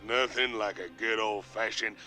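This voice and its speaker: A man voicing a sardonic, trigger-happy outlaw marine in a video game, with a gravelly drawl. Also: fairly low pitch, normal speed, over music, lightly acted, poor audio. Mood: cocky, relishing. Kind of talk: video game cutscene acting.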